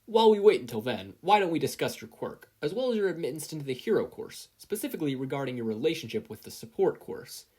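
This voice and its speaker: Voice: mid-range voice, big pitch swings, quick pace, few pauses, warm tone, slightly rough, pitched above the performer's own voice. A performer voicing a hearty, fatherly older male superhero mentor in an audiobook style, proud and declamatory. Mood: proud, encouraging. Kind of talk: audiobook-style character acting.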